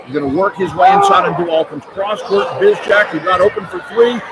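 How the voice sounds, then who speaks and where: A man's voice: high-pitched, wildly swooping pitch, a little fast, very noisy. A man doing live radio play-by-play commentary of a basketball game from inside an arena. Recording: loud crowd and music behind commentary. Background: loud arena crowd and music.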